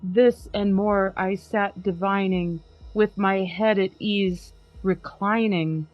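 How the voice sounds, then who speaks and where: A woman hosting a podcast, giving a slow, emphatic dramatic reading of a poem over a video call. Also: medium pitch, steady tone, highs cut off. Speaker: podcast host.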